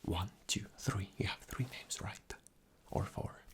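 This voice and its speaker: A man playing an arrogant, rude boss in a whispered ASMR interview roleplay, breathy, condescending and dismissive. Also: low voice, unhurried. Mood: impatient, condescending.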